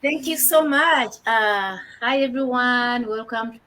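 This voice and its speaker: A woman's voice: fairly high pitch, slow pace, sharp tone, a little hiss or hum. A woman, co-hosting an online business show with an enthusiastic welcome. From Uganda. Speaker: co-host.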